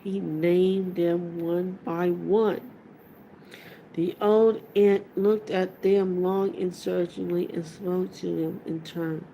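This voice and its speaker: Slow, creaky-voiced woman reading a story. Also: lowish voice, level tone, dark tone, slight background noise, poor audio. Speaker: audiobook reader. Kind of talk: amateur read-aloud of fantasy novel.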